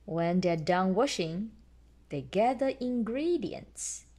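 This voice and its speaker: Slow, lively woman reading a story. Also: mid-range voice. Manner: explains simply and patiently to a child. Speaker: storyteller mother reading aloud to a child. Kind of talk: read-aloud children's bedtime story.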